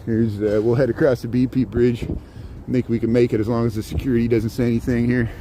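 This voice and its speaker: A man's voice: mid-range voice, little pitch movement, a little fast, warm tone. Rambling man livestreaming on city streets. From southern Indiana, US. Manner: rambling, chatty, answers viewer comments. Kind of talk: walking livestream commentary. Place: outdoors on cold city streets. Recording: faint street noise outdoors. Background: city traffic and street ambience.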